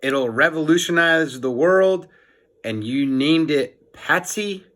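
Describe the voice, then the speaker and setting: Man voicing a cheeky, skeptical schoolboy, raised pitch. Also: slow pace, fairly bright tone. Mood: skeptical teasing.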